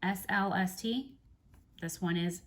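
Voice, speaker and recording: A woman from North Carolina, hoarse and stuffy from allergies, explaining crochet abbreviations in a casual tutorial. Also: lowish voice. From North Carolina, United States. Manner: slow, careful spelling out of abbreviations. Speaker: crochet teacher.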